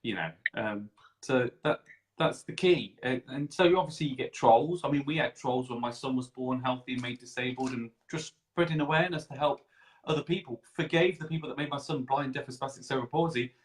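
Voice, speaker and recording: Man, interview host, average pitch, effusive, rambling, repetitive phrasing, casual chat. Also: brisk, low-quality recording.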